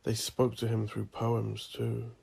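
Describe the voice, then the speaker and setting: A man narrating a dark fantasy audio drama, with a slow, ominous delivery and a gravelly voice. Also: mid-range voice, completely flat delivery.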